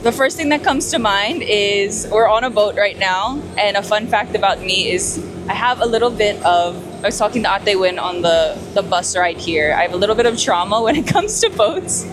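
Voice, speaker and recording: A woman's voice: medium pitch, animated, moderate pace, hardly any pauses, background noise. A woman in her twenties from the United States, chatting casually and giggly as a pageant contestant hosting a vlog. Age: 20s. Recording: music playing behind the voice. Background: music.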